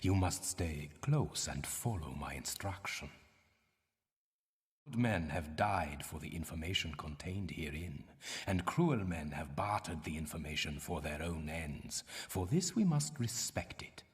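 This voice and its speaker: Game role: stern older archaeologist guide, very low voice, lively swooping delivery, pompous and cautious. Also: male voice, unhurried. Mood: grave, warning. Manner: formal, pompous, instructive. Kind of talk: video game cutscene and tutorial acting. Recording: long cavernous reverb.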